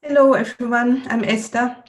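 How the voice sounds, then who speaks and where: A woman introduces herself on a panel and lists the facts in a precise, orderly way. Her voice is fairly high. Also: steady tone, moderate pace. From Germany. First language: German.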